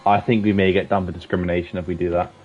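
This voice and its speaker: A man giving casual, excitable commentary on a sim racing qualifying session, swearing freely and bantering with his co-host. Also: low voice, rapid-fire. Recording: dull lo-fi stream audio with faint hiss.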